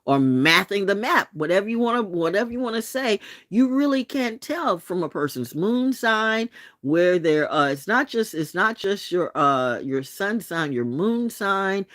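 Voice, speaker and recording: Woman, late sixties, vlog host, blunt and salty, pitch swinging up and down, dry close sound. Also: lively intonation, measured pace, fairly bright tone, no room sound. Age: 60s. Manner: blunt and profane, with laughs and restarts. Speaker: channel host. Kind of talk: late-night vlog monologue.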